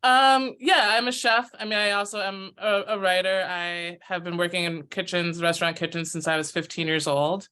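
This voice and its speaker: An American woman, a chef and cookbook author, answering interview questions in a thoughtful, measured way over a video call. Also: lowish voice, steady tone, bright, forceful tone, smooth. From United States.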